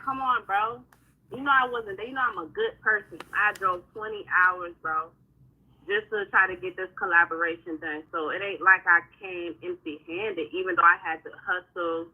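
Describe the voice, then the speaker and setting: A hoarse woman singer talking heatedly in a casual livestream chat, venting in slangy speech. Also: on the low side, narrow, tinny audio. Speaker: singer and guest.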